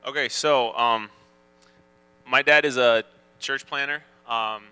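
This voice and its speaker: A young man from France on a school auditorium stage, describing his home in a relaxed, somewhat hesitant way. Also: medium pitch, slow, clear, resonant tone. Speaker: student panelist. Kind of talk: telling about his home country.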